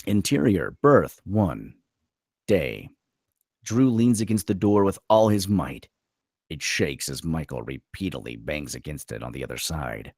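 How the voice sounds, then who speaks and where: A man's voice: very deep voice, big pitch swings, unhurried, darkish tone, no echo, clean studio sound. A man reading stage directions as dramatic scripted narration at a screenplay table read.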